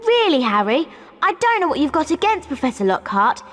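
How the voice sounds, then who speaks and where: An actress playing a bossy, earnest young schoolgirl in a video game, prim and brisk. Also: sits high, sing-song, faint music behind.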